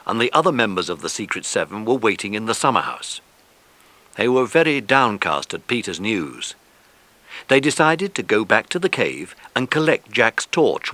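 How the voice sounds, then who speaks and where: A man narrating a children's adventure story from a script in an expressive storytelling style. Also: mid-range voice, dry recording.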